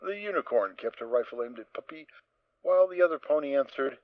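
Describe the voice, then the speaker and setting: A man narrating a fan audio drama in an expressive storytelling manner. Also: mid-range voice, a little fast, no echo. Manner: expressive reading. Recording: dull, dry lo-fi recording.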